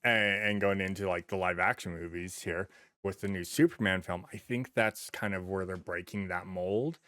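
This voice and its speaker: A man hosting a podcast in casual conversation, animated and given to acting out imagined quotes. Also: low-pitched, moderate pace, no echo, clean studio sound. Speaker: podcast host.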